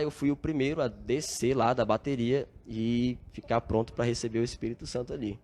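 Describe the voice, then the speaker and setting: A man's voice: lowish voice, a little mellow. A young Brazilian man, a podcast guest, telling his story in an earnest, reflective way with frequent filler tags. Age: young adult. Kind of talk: casual storytelling interview.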